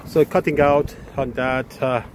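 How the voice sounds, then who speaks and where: A male HVAC technician talks haltingly through a repair, filling his pauses with "uh". He speaks at an ordinary middle pitch into a handheld phone. He is outdoors on a rooftop, and clear wind noise hits the mic. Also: fairly even intonation. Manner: halting, full of "uh" fillers. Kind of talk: casual work video narration. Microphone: handheld phone camera.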